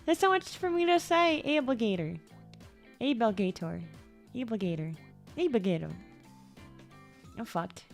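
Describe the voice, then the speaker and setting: A young woman chatting casually on a livestream. She rambles, repeats and stumbles over words, and her voice sometimes jumps suddenly into high squeaks. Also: lively intonation, a little slow, vocal fry, long pauses. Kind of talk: casual stream chat. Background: faint background music.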